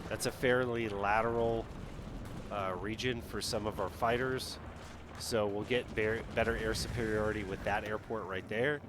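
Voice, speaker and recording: A man's voice: lowish voice, fairly even intonation, moderate pace, noisy background. A man streaming a strategy game live, talking casually and thinking aloud as he plays. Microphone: headset.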